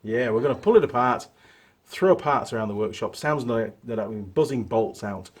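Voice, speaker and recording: A man, hoarse from a cold, hosting his workshop channel and chatting casually through a gearbox teardown with plenty of fillers. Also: mid-range voice, moderate pace. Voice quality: husky. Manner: chatty, relaxed, rambling with fillers.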